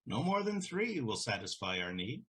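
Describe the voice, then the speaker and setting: An actor playing a proud, scornful elderly merchant in a Shakespearean audio drama, with a haughty, declamatory delivery. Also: male voice, mid-range voice, big pitch swings, moderate pace, bright, forceful tone, husky, exaggerated voice. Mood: assured.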